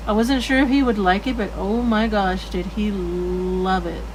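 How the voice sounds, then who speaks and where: A woman's voice: mid-range voice, steady tone, measured pace, noisy background. A woman chatting casually while she draws on a livestream, with a soothing voice and a rambling, self-correcting way of talking. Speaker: art livestream host.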